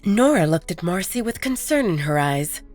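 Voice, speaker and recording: A woman narrating a werewolf romance in measured, scripted storytelling. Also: fairly low pitch, big pitch swings, darkish tone, no echo, faint music behind.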